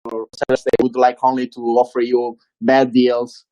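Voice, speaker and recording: A man poses a question to a speaker during an online session. He hesitates often and fills pauses, at a middle pitch. His voice sounds dry, with no room echo. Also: monotone, warm tone. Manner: hesitant, many fillers. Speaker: audience member asking questions. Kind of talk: webinar question.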